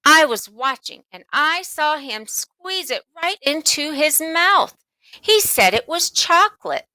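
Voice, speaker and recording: A woman's voice: high voice, expressive, slow pace, bright, forceful tone, no room sound, voice pushed up, exaggerated voice, rough recording. A woman voicing a bossy, indignant schoolgirl in a storybook, talking in an insistent, emphatic way. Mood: insistent tattling. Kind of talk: children's storybook read-aloud.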